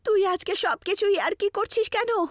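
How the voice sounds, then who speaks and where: A woman's voice: extremely high pitch, normal speed, dry recording, studio quality, pitched above the performer's own voice. A woman voicing a frightened young woman explorer in a horror story, urgent and pleading in manner. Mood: uneasy. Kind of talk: audio horror story acting.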